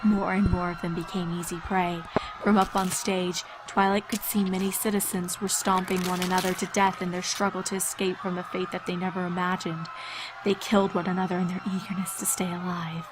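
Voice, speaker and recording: A woman's voice: fairly low pitch, little pitch movement, brisk, noisy background. A woman giving a dramatic scripted narration of a horror fan-fiction story, with music behind her.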